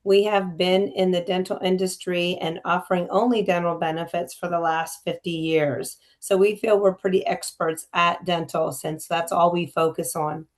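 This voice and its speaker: A woman insurance representative with a raspy voice today, speaking in a friendly, chatty way on a video call. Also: on the low side, fairly even intonation, moderate pace, few pauses.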